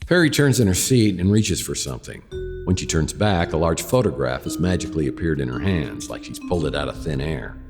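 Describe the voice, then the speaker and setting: An actor playing a wry, world-weary private detective in a hardboiled radio drama, narrating with deadpan, self-deprecating wit. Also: male voice, rumbling low, fairly quick, big-room sound, over music.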